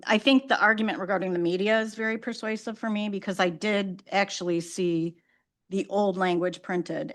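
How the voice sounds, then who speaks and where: Gravelly-voiced woman on a public board. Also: fairly low pitch. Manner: frank, conversational. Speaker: board member. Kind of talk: formal public meeting remarks.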